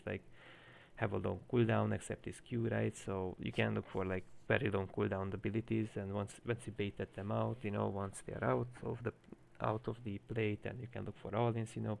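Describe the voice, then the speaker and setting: A man's voice: on the low side, vocal fry, a little mellow, a little hiss or hum. A man with a Hungarian accent coaching a player live on stream, explaining at length with many fillers. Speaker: game coach.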